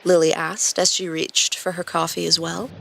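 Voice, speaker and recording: A woman's voice: fairly low pitch, level tone, normal speed, gravelly, a bit bright, a little hiss or hum. A woman reading scripted story narration as a podcast narrator and host.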